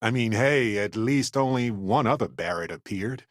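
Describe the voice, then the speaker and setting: A man plays an affable male space explorer and scientist for a video game. His voice sits low and moves around expressively. He is conversational, playful and wry, and the recording is dry and close. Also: expressive, normal speed, no echo, close to an everyday voice. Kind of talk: video game companion dialogue.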